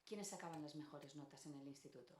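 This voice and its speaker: A woman's voice: low-pitched, fast, darkish tone. A woman, speaking off the cuff as a panelist, repeating words for emphasis. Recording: roomy echo, faint background noise.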